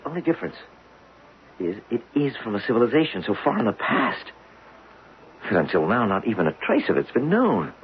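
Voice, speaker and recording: An actor playing an earnest, alarmed geologist in a radio drama, speaking with intense, theatrical emphasis. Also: male voice, on the low side, big pitch swings, fairly quick. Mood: awed, grave. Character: earnest, urgent male geologist, alarmed by his discovery. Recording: dull, noisy vintage radio recording.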